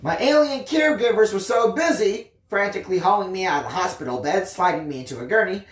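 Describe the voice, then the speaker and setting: A storyteller reading a first-person tale expressively, with theatrical emphasis and drawn-out phrases. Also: mid-range voice, animated, echoey room. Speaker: audiobook reader, story time host.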